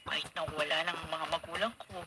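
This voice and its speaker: An actress playing a gentle, dutiful young woman, a devoted niece, in a Filipino radio drama. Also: sits low, fairly even intonation, brisk, lightly acted. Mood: sorrowful, earnest. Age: young adult. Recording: very noisy radio drama broadcast.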